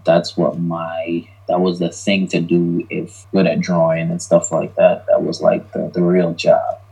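A Jamaican-born man, talking casually as an interview guest, relaxed and rambling with lots of ums. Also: deep voice, husky, poor audio. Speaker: interview guest, graphic designer.

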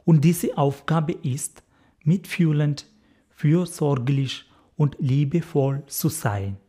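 Young indian guy talking slow and careful, lots of ums, soft dark voice. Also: a little high, husky. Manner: careful, halting German with fillers, recently learned. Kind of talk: interview answers.

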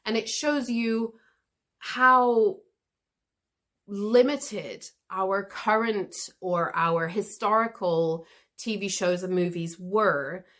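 A woman hosting an English-learning podcast, giving chatty advice straight to the listener in a lively, expressive way. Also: medium pitch, takes it slowly. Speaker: English teacher and podcast host.

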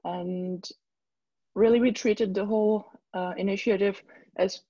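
Woman in her 30s, even and flat delivery, dull dry line. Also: medium pitch, unhurried, breathy edge, dry recording. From Czech Republic. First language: Czech. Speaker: guest, tour business owner. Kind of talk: conversational interview.

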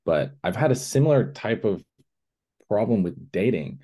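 A young man hosting a casual interview chat, relaxed and thoughtful, sharing his own stories. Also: lowish voice, normal speed, breathy edge. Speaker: podcast host.

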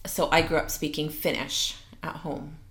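A woman's voice: deep voice, little pitch movement, moderate pace, roomy sound. A middle-aged woman in a casual interview, encouraging and affirming as she listens and shares her own story. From Canada. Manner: warm, encouraging, with frequent affirming murmurs. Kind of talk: casual conversation.

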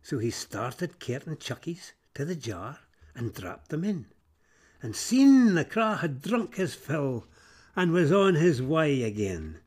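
A Scottish man narrating fables in Scots verse, theatrical and expressive, moving between hushed drops and emphatic swells. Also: fairly high pitch, takes it slowly.

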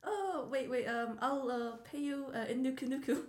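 A woman's voice: high voice, lively intonation, normal speed, darkish tone. A young woman co-hosting a podcast in casual chat, sarcastic and swearing freely. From Ireland. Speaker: podcast co-host. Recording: echoey room, audible noise.

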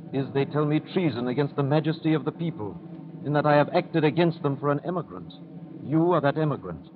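An actor playing an earnest, composed gentleman with a measured, formal manner in a classic radio drama. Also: medium pitch, close to an everyday voice. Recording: tinny, faintly noisy vintage radio recording.

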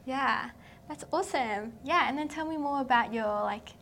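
A woman hosting a podcast, asking questions casually and encouraging her guest with quick affirmations. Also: high-pitched, a bit bright, slight background noise. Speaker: podcast host. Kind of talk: casual interview chat.

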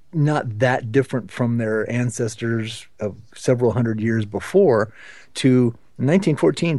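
Mid-pitched man in discursive discussion. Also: darkish tone, no echo. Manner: discursive, halting. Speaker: co-host. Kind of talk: casual interview discussion.

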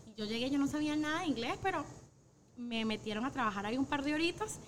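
A woman's voice: high voice, fast talker, a crowd in the background. A woman from Caracas with a Venezuelan accent, telling stories casually and candidly as an interview guest, using plenty of slang. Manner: candid, animated slangy storytelling. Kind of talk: casual conversational storytelling.

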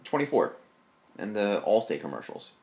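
A man co-hosting a podcast, chatting casually and enthusiastically about films, with a deep voice and lots of fillers. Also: big pitch swings, normal speed, a little mellow. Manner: rambling, enthusiastic fan talk with fillers. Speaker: podcast co-host. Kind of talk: casual chat.

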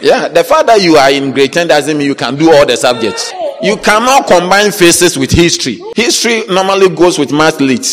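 A man preaching an animated sermon, quizzing the congregation and breaking into shouts and laughter. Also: fairly high pitch, fairly quick. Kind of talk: lively church sermon. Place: church. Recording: reverberant church hall with congregation.

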